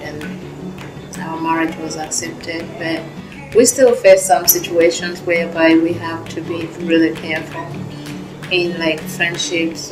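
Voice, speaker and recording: Low, flat-voiced woman telling her story. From Rwanda. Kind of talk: personal testimony. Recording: roomy and distant, music underneath.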